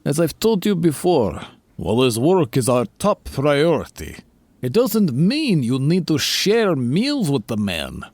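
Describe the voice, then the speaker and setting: A steady, placating super-soldier chief in an audio drama. He talks slowly, with a husky edge and a very lively pitch. The recording is dry and close. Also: no echo, full character voice. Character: Red Guardian, male Russian super-soldier leader, calm.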